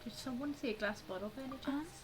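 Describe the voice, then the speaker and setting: A woman's voice: high-pitched, fast talker, noisy background. A woman playing a game, casually commenting and reading lines aloud. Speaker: player reading along with a game.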